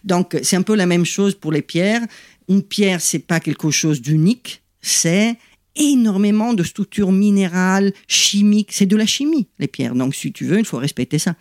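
A middle-aged woman from Italy, speaking French with an Italian accent as her second language, explaining things in a lively interview with many asides and restarts. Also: mid-range voice, moderate pace, no echo, clean studio sound. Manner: animated and explanatory, frequent "tu vois" asides and restarts. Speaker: guest, lithotherapist.

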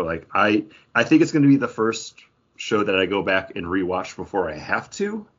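Man, low husky voice, casual podcast co-host, pop-culture chat, dull lo-fi recording. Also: a bit bright. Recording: dull, lo-fi audio.

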